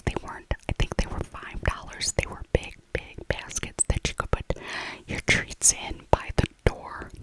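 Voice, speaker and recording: A middle-aged woman whispers throughout, with no real voice behind the breath. She chats in a casual, musing ASMR voiceover style, often circling back to repeat a phrase. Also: rough recording. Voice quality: soft unvoiced whisper. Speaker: ASMR video creator.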